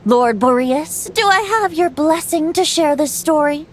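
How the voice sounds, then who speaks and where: A woman's voice: sits high, expressive, unhurried. A woman voicing a playful young male bard who tells tales in a lilting, lyrical way. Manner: lilting, teasing, then lyrical storytelling. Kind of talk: video game voice acting.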